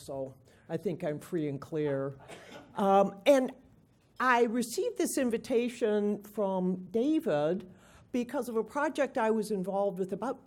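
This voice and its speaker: Loud-voiced woman giving a conference talk. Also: medium pitch. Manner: lively, informal presenting with fillers. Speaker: conference presenter. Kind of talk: conference lecture. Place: conference room.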